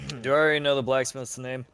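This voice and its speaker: A man's voice: medium pitch, flat delivery, quick pace, gravelly, clear, resonant tone. Easygoing young man playing a tabletop game. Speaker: player. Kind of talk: casual in-character play. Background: soft music.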